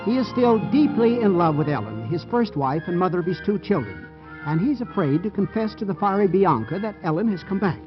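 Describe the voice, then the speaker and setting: A man's voice: sits high, big pitch swings, brisk, faint music behind. A man hosting and narrating a 1940s radio comedy from a script. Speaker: radio show host and narrator. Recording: muffled 1940s radio broadcast.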